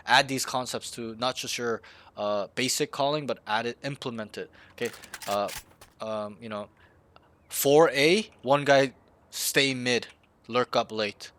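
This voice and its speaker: A man's voice: medium pitch, unhurried, fairly bright tone. A man coaching a player over voice chat, talking directly, acting out team callouts and swearing casually. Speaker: game coach.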